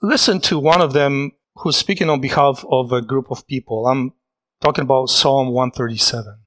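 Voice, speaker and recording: Earnest preacher. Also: male voice, mid-range voice, fairly bright tone, rough recording. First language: Polish. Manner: earnest, reflective, halting with restarts. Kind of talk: sermon.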